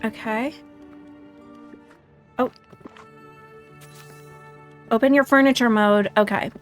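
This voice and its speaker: A woman's voice: a little high, fairly even intonation, slow, soft background music. A woman hosting a casual game livestream, chatting and thinking aloud in a self-deprecating way. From United States. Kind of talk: casual livestream chat and gameplay commentary.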